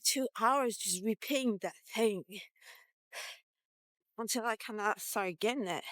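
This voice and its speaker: A middle-aged woman, not a native English speaker, with an accent mixing Irish and British influences, thinking aloud in short, halting fragments in a casual vlog monologue. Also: a little high, no echo. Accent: non-native English with Irish and British influences. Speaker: vlogger.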